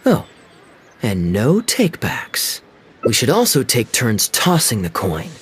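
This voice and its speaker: Young nobleman, game cutscene, charming, mischievous, teasing, mid pitch, expressive. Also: slow, slightly rough, exaggerated voice. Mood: playful. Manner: teasing, sly, playfully commanding. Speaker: wealthy visitor at a tea house.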